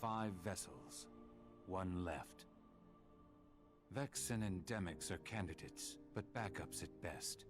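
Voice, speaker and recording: A coolly formal villain strategist from a video game. The voice is very low and the delivery is measured and stoic. Music is clearly heard underneath. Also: male voice, animated. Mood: grave, explanatory. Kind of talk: dramatic video game cutscene acting.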